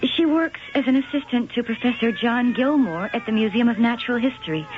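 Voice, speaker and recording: An actress playing a nervous young former dancer in a vintage radio drama, heard on a tinny, hissy old broadcast. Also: female voice, a little high, fairly quick, close to an everyday voice. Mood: polite. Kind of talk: 1970s radio drama acting.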